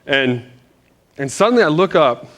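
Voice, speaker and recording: Animated, chatty male preacher. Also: on the high side, normal speed. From Northern Virginia. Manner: animated, self-deprecating, many restarts. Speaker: pastor. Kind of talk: casual storytelling sermon. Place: church.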